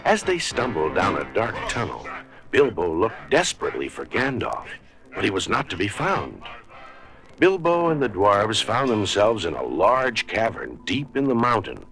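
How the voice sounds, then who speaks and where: A man narrating a scripted children's story in a dramatic, deliberate storybook style. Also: lowish voice, big pitch swings, creaky, over music.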